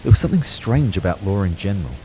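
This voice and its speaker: An actor playing a bewildered male ghost, narrating his afterlife in a brooding, measured way for a scripted audio drama. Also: low voice, sing-song, a little mellow, slight background noise, poor audio.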